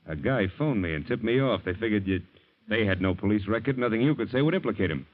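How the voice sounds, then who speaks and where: An actor playing a shrewd, determined American police detective in an old radio crime drama, probing and hard-boiled. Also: male voice, lowish voice, animated, quick pace, lightly acted. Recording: dull old radio recording.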